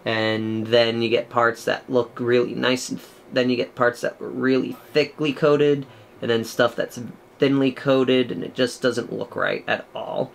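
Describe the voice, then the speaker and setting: A young man casually talking through painting a miniature, chatty and rambling, with a loud projected voice, in a small room at home. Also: medium pitch, steady tone, unhurried, gravelly, slight background noise. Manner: rambling, chatty, many fillers. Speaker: miniature painting channel host. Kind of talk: casual tutorial commentary while painting.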